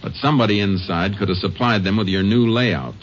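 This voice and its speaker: A deep-voiced American Texas Ranger, acted by a man from a 1950s radio crime show. He is calm and clipped, questioning and narrating matter-of-factly. The old recording is muffled and clearly noisy. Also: fairly quick, close to an everyday voice. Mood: businesslike, probing.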